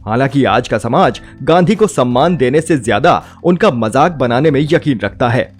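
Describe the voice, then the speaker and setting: A man's voice: mid-range voice, lively intonation, normal speed. A man narrates a scripted film review with emphatic, rhetorical phrasing. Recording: music bed under the voice. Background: background music.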